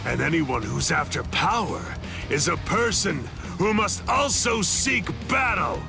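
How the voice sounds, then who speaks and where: A man's voice: on the high side, animated, unhurried, vocal fry, heavily acted. A man voicing a menacing, taunting inner spirit in an anime game, with a sneering, theatrical delivery. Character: menacing, taunting male inner hollow spirit craving battle. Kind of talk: English anime game dub acting. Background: music.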